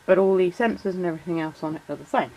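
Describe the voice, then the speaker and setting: Croaky woman with a cold. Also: fairly low pitch, racing, lo-fi sound. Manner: chatty, many fillers, reads out shout-outs. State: croaky voice from a cold, sore throat. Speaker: toy collector vlogger. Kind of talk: casual explanatory vlog.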